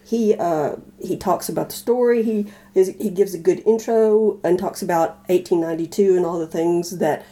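A woman co-hosting a casual discussion of old radio dramas, explaining things in a thoughtful, halting way. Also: lowish voice, mellow. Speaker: podcast co-host.

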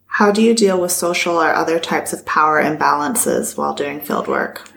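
A woman hosting a podcast, reading questions and an outro in a clear, composed way. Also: low-pitched, wildly swooping pitch, normal speed, a bit bright, a little hiss or hum. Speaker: podcast host. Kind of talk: scripted outro.

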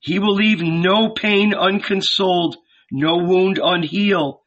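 A man's voice: sits high, fairly even intonation, slow pace, a bit bright, lo-fi sound, voice pushed up, full character voice. A man voicing an impassioned male prophet who preaches to a crowd in a raised, imploring voice. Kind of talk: audiobook character voice.

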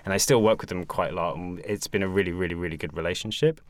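Guest voice: young adult from England, low-pitched, earnest and reflective, dry booth sound. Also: male voice, fast, no room sound. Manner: reflective, earnest, many fillers. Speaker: guest, support group founder. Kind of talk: conversational interview.

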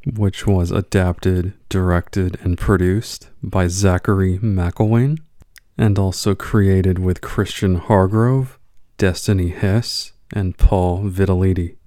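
A man announces in a scripted podcast style. His voice is very low and dark, delivered slowly and recorded dry. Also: fairly even intonation, dry recording.

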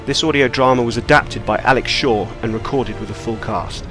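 Man reading scripted credits over soft music. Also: mid-range voice, fairly quick. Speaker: credits announcer. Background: faint music.

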